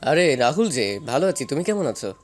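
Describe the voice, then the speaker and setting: A man playing a concerned, fatherly doctor in an audio story, advising and gently urging. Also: medium pitch, big pitch swings, normal speed, faint music behind.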